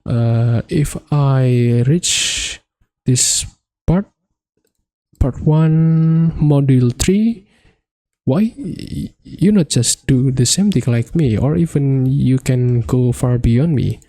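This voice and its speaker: Guy walking through c# code, gravelly low voice, dry close sound, pauses a lot. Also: dark tone, dry recording. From Indonesia. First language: Indonesian. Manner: halting, hesitant non-native phrasing. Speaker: coding tutorial host. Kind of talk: screen-recorded coding walkthrough.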